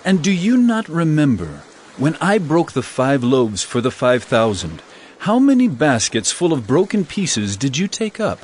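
A man's voice: on the high side, big pitch swings, unhurried, darkish tone, slight background noise. An actor playing an earnest male religious teacher in a dramatized scripture reading, with emphatic delivery. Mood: reproving.

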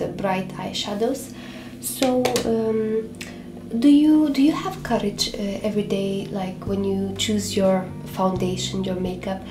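Hesitant young woman vlogging, roomy sound. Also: mid-range voice. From Lithuania. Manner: hesitant, many fillers and restarts. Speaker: beauty vlogger. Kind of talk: casual chat to camera. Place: small home room, indoors. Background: soft background music.

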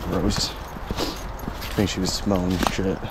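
A man's voice: sits low, little pitch movement, slow, very noisy. A man casually vlogging while he walks a dog through the woods, rambling and swearing as he talks to the camera. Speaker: vlogger exploring places. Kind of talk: casual walking vlog. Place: woods outdoors.

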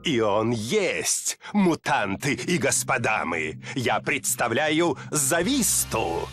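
An actor voicing a scheming, greedy male villain who pitches his product like a showman, in a game cutscene with music behind. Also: sing-song, takes it slowly, fairly bright tone. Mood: smug pitch. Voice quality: theatrical, salesman-like delivery. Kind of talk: Russian video game dub.

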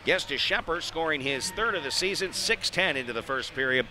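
A man's voice: a little high, lively intonation, a little fast. Male hockey play-by-play announcer, noisy arena. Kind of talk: live sports radio commentary. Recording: noisy live ice arena ambience.

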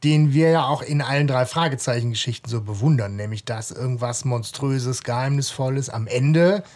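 A man co-hosting a podcast, talking casually in a measured, explanatory manner. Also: mid-range voice, darkish tone. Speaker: podcast co-host.

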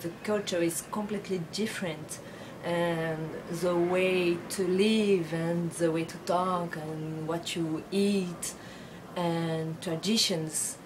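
A woman's voice: lowish voice, steady tone, takes it slowly. A woman in her late twenties, born in France with Brazilian and Belgian parents, answering interview questions thoughtfully with many fillers. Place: bedroom with bookshelves, indoors. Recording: clear background noise.

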